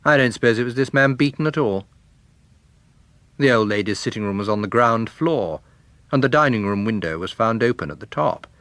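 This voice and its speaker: A prickly gentleman in an audiobook mystery done by a male narrator, curt and quick to contradict. His speech swings widely in pitch, recorded close and dry. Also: lively intonation, no room sound, natural voice. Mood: argumentative. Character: disagreeable man, a detective-story writer, prickly and argumentative.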